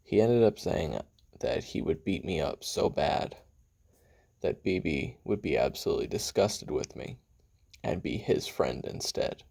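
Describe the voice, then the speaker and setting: Man acting as hot-tempered male assassin, husky, swinging intonation, casual cursing, pause-laden memoir monologue, audio roleplay. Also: expressive, a little mellow, heavily acted. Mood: brooding, tense. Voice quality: very deep, gravelly, husky. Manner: halting, casual, profane, with sighs and trailing pauses.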